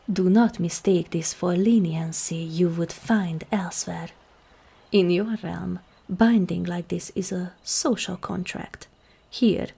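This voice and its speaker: A woman playing a regal, seductive ancient demon queen in an ASMR roleplay, measured and commanding, with a husky, breathy, creaky voice that often softens toward a whisper. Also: fairly low pitch, exaggerated voice. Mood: cool, commanding. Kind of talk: ASMR roleplay, scripted monologue to the listener.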